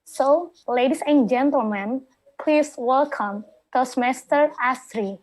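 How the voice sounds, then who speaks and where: A woman formally introduces the next speaker at an online club meeting. She speaks slowly and evenly, with a fairly high voice, over a video call. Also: low-quality recording. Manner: measured, reads out the project details. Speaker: speech evaluator.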